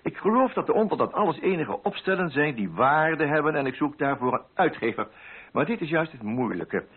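Man reading as an earnest, needy poet, husky. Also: fairly high pitch, animated, fairly quick. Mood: earnest, pleading. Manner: formal, earnest, pleading. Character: earnest, courteous, needy male poet and old schoolmate. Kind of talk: letter read aloud in radio drama. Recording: muffled, band-limited radio drama recording.

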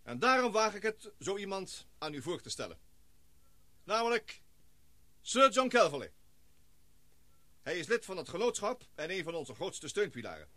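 An actor playing a formal male expedition leader and scientist who speaks in measured, speechmaking tones in a radio play. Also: high-pitched, very animated, bright, forceful tone, dry recording, full character voice.

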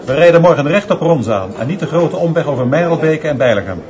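A firm, authoritative leader played by a man giving orders in a radio drama. His voice sits a little high. The sound is somewhat dull, with a busy crowd around him. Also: expressive, fast talker, lightly acted.

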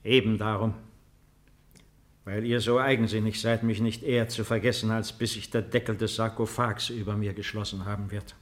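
An actor in a 1950s German radio drama playing a composed, weary old ruler with a formal, measured, authoritative manner. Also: male voice, on the low side, steady tone, lightly acted. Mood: resigned, authoritative.

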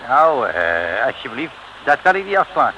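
A gruff, forceful, curt guard. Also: male voice, mid-range voice, very animated, normal speed, dull audio. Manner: curt, barking orders. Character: gruff, curt guard shooing people away. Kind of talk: 1950s-60s Dutch radio serial acting. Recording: faintly noisy old radio serial recording.

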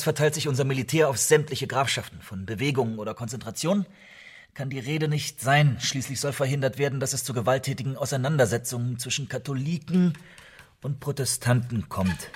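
An actor in a radio play playing a reluctant, cornered English informant who answers defensively and haltingly. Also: male voice, medium pitch, slightly rough, natural voice. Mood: cautious.

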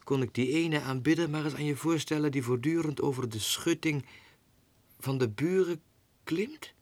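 A man's voice: a little high, moderate pace, darkish tone, no echo, voice pushed up. A man voicing a pampered, gossipy female lapdog's letters in an affected, dramatic way for a 1960s radio drama. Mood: swooning.

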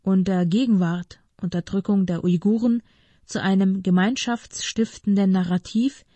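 A woman reading scripted political commentary aloud in a formal, measured manner. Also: mid-range voice, fairly even intonation, warm tone, no echo.

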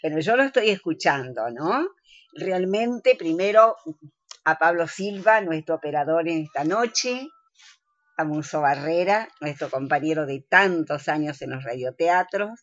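A woman speaking as a guest actress in a live radio interview, courteous and deliberate. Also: sits low, lively intonation, no echo, muffled recording.

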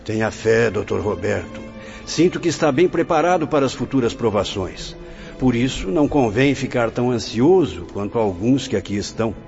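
A man's voice: mid-range voice, expressive, normal speed, gravelly, a little mellow. Man acting a kindly elder Brazilian friar, muffled. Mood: reassuring. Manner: benevolent, formal, reassuring. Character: kindly, pious elder friar, fatherly mentor. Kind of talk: radio novel acting. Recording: dull lo-fi audio with faint music.

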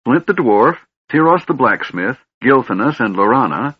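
A man voicing an eager, cheerful small kender who chats brightly in an audiobook. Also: medium pitch, big pitch swings, normal speed, breathy edge, pitched above the performer's own voice. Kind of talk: audiobook character voice. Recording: dull, slightly lo-fi recording.

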